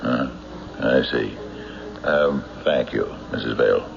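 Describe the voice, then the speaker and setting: A man playing a tough, skeptical sheriff in an old radio drama, measured, dry and probing, on a muffled recording. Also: extremely low pitch, gravelly, noisy background, heavily acted. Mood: dry, patient. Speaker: sheriff narrating his own investigation. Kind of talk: old-time radio drama acting and narration.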